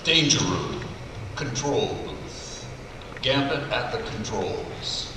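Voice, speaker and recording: A man's voice: mid-range voice, animated, takes it slowly. A man, a voice actor, narrating stage directions at a live script read. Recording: echoey noisy hall, far from mic.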